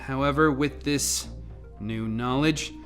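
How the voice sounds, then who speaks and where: A man's voice: medium pitch, slow, a bit bright, soft background music. A man acting in an audio drama as a composed, earnest scientist who explains things precisely. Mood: sober. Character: composed, earnest male scientist on a crisis team.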